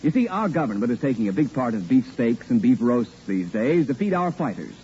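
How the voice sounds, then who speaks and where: Animated 1940s radio announcer, a man. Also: mid-range voice, fairly quick. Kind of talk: 1940s radio scripted advertising and narration. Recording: dull, noisy vintage radio recording.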